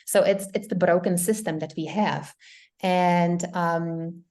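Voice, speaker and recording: A middle-aged woman with a Czech accent speaks in a slightly low register. She explains things earnestly as a guest doctor in an interview and laughs easily. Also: steady tone, slow pace, a little mellow. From Czech Republic. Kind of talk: interview conversation.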